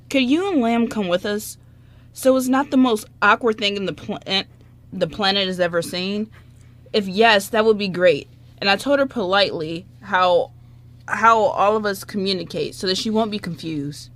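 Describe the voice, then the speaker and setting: A woman's voice: medium pitch, moderate pace, fairly bright tone, natural voice. A woman playing an anxious, awkward, earnest young man in an amateur radio play, easily flustered. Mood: flustered pleading.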